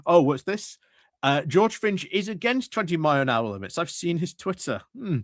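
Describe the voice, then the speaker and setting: A man giving casual reaction commentary, speaking in a wry, halting way. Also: a little high, very animated, dry recording, studio quality. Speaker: streamer commentator.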